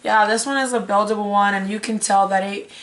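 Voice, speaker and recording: A young woman hosts a casual unboxing vlog in a hoarse, raspy voice at a medium pitch. She thinks aloud and breaks off her own sentences in a chatty way. Her voice sounds like it is giving out. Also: steady tone, a little fast, a bit bright, rough recording. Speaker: beauty vlogger host. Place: small indoor room with curtain backdrop.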